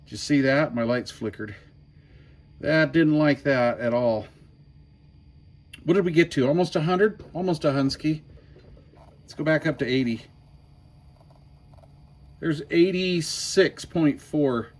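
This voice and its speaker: A man giving a casual hands-on demo as a hobbyist host, folksy and thinking out loud. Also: fairly high pitch, unhurried, long pauses. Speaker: hobbyist host demonstrating a homemade power supply. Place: workshop bench.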